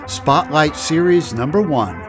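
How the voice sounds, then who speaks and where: A man hosting a radio-history program in scripted documentary narration with measured, dramatic pacing. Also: fairly high pitch. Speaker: podcast host. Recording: narration over music.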